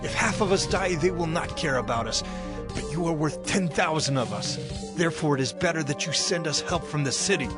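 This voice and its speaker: A man's voice: a little high, animated, fairly quick, natural voice. A man playing a loyal soldier who pleads earnestly and insistently with his king in a dramatized scripture reading. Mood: earnest pleading. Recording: music bed under the speech.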